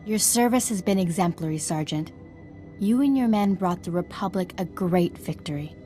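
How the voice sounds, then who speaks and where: A woman playing an earnest, composed Jedi ally in a video game, formal and measured in manner. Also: fairly low pitch, animated, mellow, natural voice. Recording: music behind the voice.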